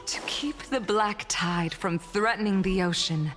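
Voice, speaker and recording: An actress playing an anxious, reverent sea siren in a fantasy game, earnest and storylike in delivery. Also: female voice, mid-range voice, a little slow, fairly bright tone, soft background music, lightly acted. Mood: solemn. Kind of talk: dramatic fantasy game acting.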